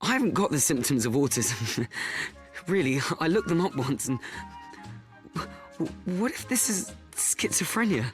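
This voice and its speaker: Self-doubting teenage boy, confessional monologue, stammering, slightly high voice, faint music. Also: very animated, moderate pace. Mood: anxious, halting. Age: young adult. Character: anxious, self-doubting teenage boy confiding his fears. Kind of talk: radio play monologue.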